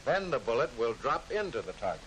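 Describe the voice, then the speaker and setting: A man's voice: very animated, a little fast, fairly bright tone, low-quality recording. An American man reading scripted narration for a 1940s military training film, instructing the trainee directly. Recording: hissy 1940s film soundtrack, rumbling noise.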